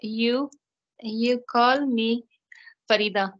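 A woman in her thirties with an Afghan accent, answering practice test questions in halting, simple beginner English. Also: on the high side, steady tone, fairly bright tone. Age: 30s. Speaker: English learner, test candidate. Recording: dull, lo-fi online call audio.

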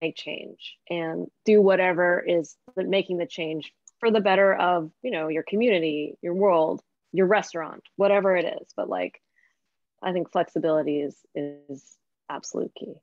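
A woman's voice: on the low side, moderate pace, no room sound. A young woman speaking casually as a panellist in a discussion. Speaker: restaurant owner panellist. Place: indoors on a video call. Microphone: video call. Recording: dry, slightly dull video-call audio.

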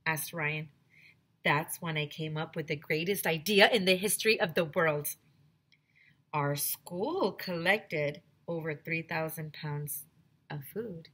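Lady teacher doing a storybook read-aloud from home, low husky voice, big expressive delivery. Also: slow pace. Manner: expressive storytelling, addresses kids directly. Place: small home room with classroom decorations. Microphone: headset.

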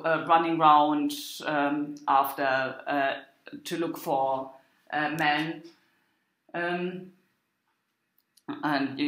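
Female academic lecturer, lowish pitch, hesitant and explanatory, thinking aloud at a very slow pace. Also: a bit bright. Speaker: university lecturer. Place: indoor room with echo. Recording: echoey room.

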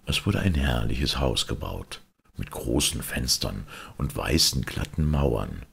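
A man narrating a fairy tale expressively, with a gravelly, husky voice. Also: rumbling low, animated, takes it slowly, fairly bright tone. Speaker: audiobook narrator. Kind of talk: expressive scripted storytelling.

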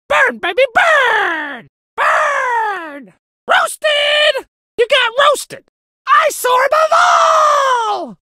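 An actor voicing a cocky, hot-tempered phoenix crow in a video game, with brash, taunting one-liners in a strained, shrill voice. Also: sharp tone, dry recording, clean studio sound, exaggerated voice. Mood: taunting, aggressive. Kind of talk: exaggerated video game character voice lines.

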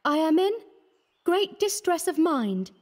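A man's voice: sing-song, measured pace, heavily acted. A man doing Valentine, a pleading young woman. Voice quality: high and fluttering. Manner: soft, pleading and reproachful. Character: young woman of the artists' quarter, earnest, pleading. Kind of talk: audiobook character reading.

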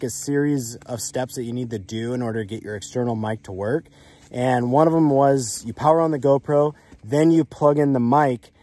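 A man's voice: mid-range voice, warm tone. A man in his thirties giving a casual how-to talk to camera outdoors. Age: 30s. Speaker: motorcycle vlogger explaining gear. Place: outdoors, driveway and forest trailside.

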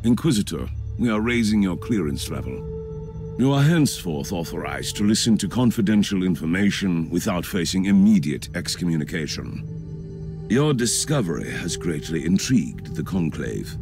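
An actor playing a stern, authoritative official of a secretive empire in a video game, speaking formally and ominously. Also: male voice, sits low, big pitch swings, normal speed, over music. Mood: grave, ominous.

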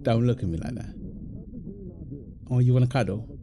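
A man's voice: medium pitch, moderate pace, highs cut off, background noise, natural voice. A British man playing an affectionate, playful husband in an intimate, naturalistic audio roleplay, talking casually and teasingly in short repeated phrases. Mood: tender, teasing. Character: affectionate, playful, apologetic husband, gamer with his mates.

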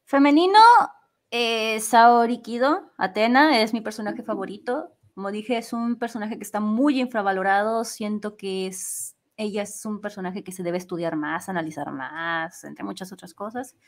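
A woman's voice: medium pitch, moderate pace. A woman, chatting casually and a bit ramblingly as an interviewed fandub singer. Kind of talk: casual live interview chat.

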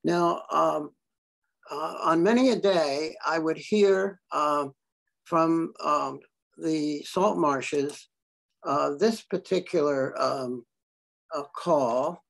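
An older man giving a slide talk on birds to a club, halting often with fillers as he explains each photo. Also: on the high side, creaky, fairly bright tone, low-quality recording. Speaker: birding presenter.

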